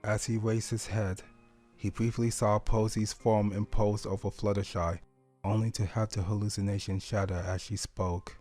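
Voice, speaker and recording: A man reading a sad story as scripted narration in a solemn, measured, deliberate way. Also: fairly low pitch, flat delivery, darkish tone, soft background music. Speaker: audio story reader.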